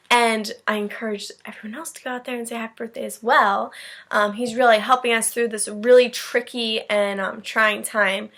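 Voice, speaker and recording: A woman with a fairly high voice speaks as a teacher reading a picture book to her class. She moves between narrating the story and explaining it in simple terms for young children. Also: bright, forceful tone. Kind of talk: storybook read-aloud with explanatory asides.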